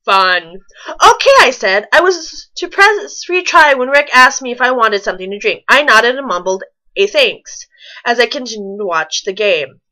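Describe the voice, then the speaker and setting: A woman's voice: fairly high pitch, animated, moderate pace, bright, forceful tone, poor audio. A woman reading a story aloud and commenting on it with wry, nitpicking asides. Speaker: fan fiction reader and commentator.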